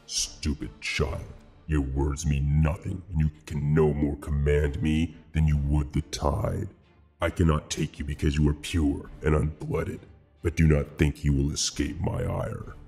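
A man voicing an ancient, mocking male demon avatar who speaks slowly and with contempt. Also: very deep voice, darkish tone, voice pushed down. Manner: slow, contemptuous, menacing.

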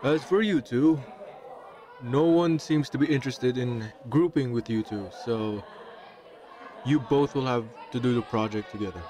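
A man's voice: mid-range voice, measured pace, dark tone, some chatter behind, lightly acted. A man voicing a male school teacher in an audio roleplay, authoritative and matter-of-fact with dry teasing. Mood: brisk. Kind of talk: amateur audio roleplay acting.

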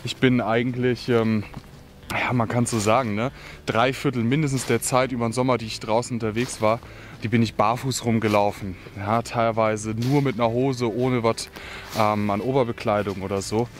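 A man's voice: mid-range voice, fairly even intonation, normal speed, a little hiss or hum. Young male outdoor vlogger, casual and opinionated, talking into a handheld camera in the woods with faint ambience behind. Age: young adult. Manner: chatty and opinionated, many fillers and asides. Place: outdoors in a forest. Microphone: camera held at arm's length.

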